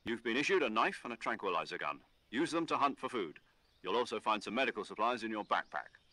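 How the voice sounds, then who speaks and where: A man playing a methodical military mission commander in a video game, speaking with deliberate authority. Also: lowish voice, expressive, sharp tone, dry recording. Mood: measured, instructive. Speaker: commanding officer giving briefing.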